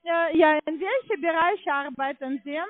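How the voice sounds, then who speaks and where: Very high-pitched hesitant woman on the phone. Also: measured pace, dry recording. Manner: hesitant, searching for words, non-native grammar. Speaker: call centre caller presenting herself as coordinator. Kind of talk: halting phone sales conversation. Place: phone call. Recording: tinny narrow phone line.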